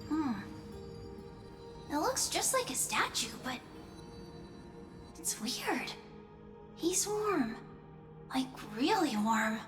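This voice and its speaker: A tiny, timid girl in a game drama, extremely high in pitch and slow to speak. She sounds naive, curious and hesitant, in an echoey space with music. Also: big pitch swings, full character voice. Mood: puzzled. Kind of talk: scripted video game drama acting.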